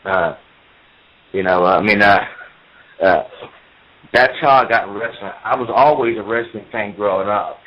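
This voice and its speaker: Low-voiced man telling wrestling stories. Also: moderate pace, pauses often, highs cut off. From United States. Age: 40s. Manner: talkative, repeats himself, enthusiastic storytelling. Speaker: professional wrestler. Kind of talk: interview.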